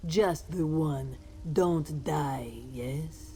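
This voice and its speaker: A slow, dark female voice for a fierce cat-folk warrior in a fantasy game. She is cool and menacing, with a gambler's swagger. Faint ambience lies behind her. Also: sits low, a little hiss or hum. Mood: savage relish. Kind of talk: video game voice acting, dramatic fantasy dialogue.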